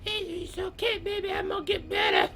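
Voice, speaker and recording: A man's voice: very high-pitched, wildly swooping pitch, normal speed, bright, forceful tone, voice pushed up, heavily acted. A man voicing a sly wolf pretending to be a sickly old grandmother, with a quavering, hesitant, wheedling delivery.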